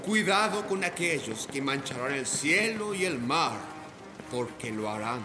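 A man's voice: mid-range voice, expressive, unhurried, lightly acted. A man playing a proud, menacing old ghost pirate captain in a radio drama, grave and theatrical. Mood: grave warning. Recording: music behind. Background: music.

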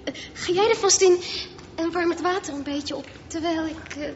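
An actress playing a timid girl in a radio drama, hesitant and stammering, uneasy in the dark. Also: extremely high pitch, normal speed. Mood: nervous. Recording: muffled, noisy radio play recording.

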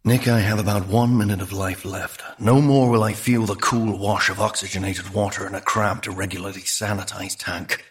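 A man's voice: on the low side, lightly acted. A man voicing a dying psychic dugong in an audiobook, solemn, ponderous and formally wordy. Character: dying dugong, male, psychic, solemn, verbose, sounding like a grave film star.

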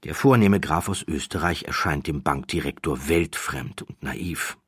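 A man narrating an audiobook as a dramatic storyteller, with deliberate, emphatic phrasing. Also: extremely low pitch, a bit bright, breathy edge, no echo, clean studio sound. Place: dry studio.

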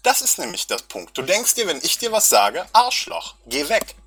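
A man's voice: a little high, wildly swooping pitch, fairly quick, bright, forceful tone, slightly rough. An agitated, angry man arguing in a confrontational, accusatory and sarcastic way in a heated voice-chat dispute. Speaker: local political party activist. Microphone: online voice chat recording.

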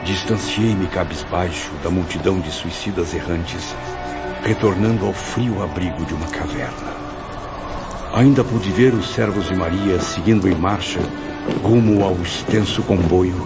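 A man's voice: deep voice, unhurried, vocal fry. An actor voicing a tormented male spirit who tells in first person of his pain after death, in a solemn manner. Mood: somber. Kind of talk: radio drama narration. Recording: dull audio with music behind.

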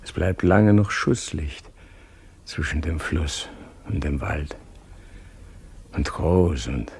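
Grandiose aging king, very husky breathy low voice, slow, declamatory, brooding, poetic radio drama. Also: full character voice. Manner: majestic, declamatory, with breathy hushed phrases. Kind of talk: German radio play acting, poetic monologue.

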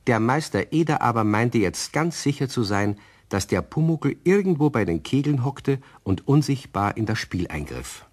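A man playing the narrator of a children's radio play, telling the scripted story in a husky voice. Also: mid-range voice, big pitch swings, moderate pace. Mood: wry.

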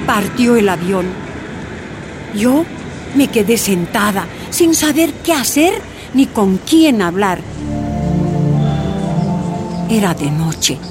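An exiled leader looking back. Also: female voice, mid-range voice, sing-song, loud music behind the voice. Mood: sorrowful. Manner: slow, sorrowful recollection. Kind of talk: radio drama narration in first person.

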